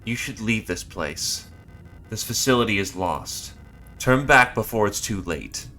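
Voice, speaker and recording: A man reading out the lines of a wounded android worker, in a flat, quiet read-aloud voice. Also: on the low side, measured pace, soft background music, full character voice. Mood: grim warning.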